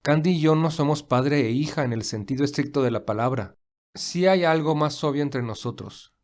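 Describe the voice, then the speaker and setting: A man playing a reserved young millionaire in an audio story, speaking in a measured, earnest, formal way. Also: on the high side, a little mellow, lightly acted. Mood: firm, earnest. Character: William, reserved young millionaire, weary and principled.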